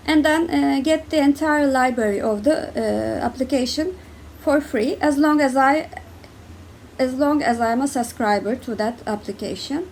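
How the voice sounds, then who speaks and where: A woman's voice: sits high, a little hiss or hum. A young woman with a Turkish accent, talking casually to camera about books, hesitant with many fillers and restarts. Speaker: book vlogger.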